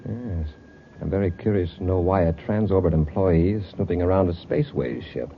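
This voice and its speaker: An actor playing an authoritative, decisive space patrol commander with a clipped, commanding manner in a vintage radio serial. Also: male voice, sits low, fairly quick. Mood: steady, businesslike. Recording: dull old radio recording, faint hiss.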